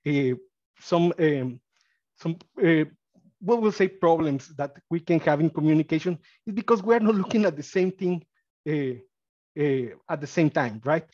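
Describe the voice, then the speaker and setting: A man's voice: fairly high pitch, dry recording. A man from Mexico with a Spanish accent, presenting college data on a webinar, with frequent fillers and restarts. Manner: halting, many fillers and restarts, gets excited. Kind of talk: webinar presentation with screen share. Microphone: computer mic on video call. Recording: dry, slightly dull video-call audio.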